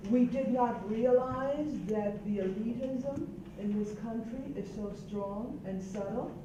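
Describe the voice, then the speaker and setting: A woman's voice: a little high, takes it slowly, distant mic. Older woman, from Puerto Rico, earnest, reflective, dull muffled sound, noisy echoey room. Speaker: roundtable participant. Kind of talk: panel discussion.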